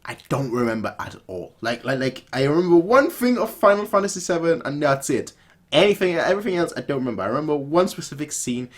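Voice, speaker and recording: A man giving casual, excitable reaction commentary over a video, often repeating himself for emphasis. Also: on the high side, quick pace.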